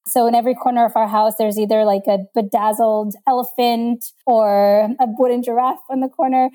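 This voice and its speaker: Emphatic woman with a fairly high voice. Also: fairly even intonation, a little fast, dark tone. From South Africa. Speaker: podcast co-host. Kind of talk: casual podcast chat.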